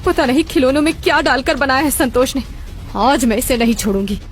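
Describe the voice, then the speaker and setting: A woman's voice: high-pitched, lively intonation, a little fast. A woman voicing an angry, alarmed village mother in a cartoon story, agitated and emphatic. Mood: outraged. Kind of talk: Hindi cartoon moral-story voice acting. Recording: background music throughout.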